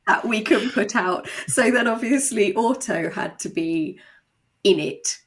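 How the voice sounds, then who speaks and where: A woman guest, a writer and voice actor, chatting casually in an interview in an animated, self-deprecating way. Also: mid-range voice, unhurried.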